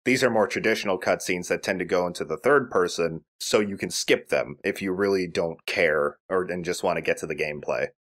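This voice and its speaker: A man's voice: low voice, fairly quick, few pauses, fairly bright tone. A man giving casual, explanatory game commentary with rambling asides. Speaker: let's play commentator.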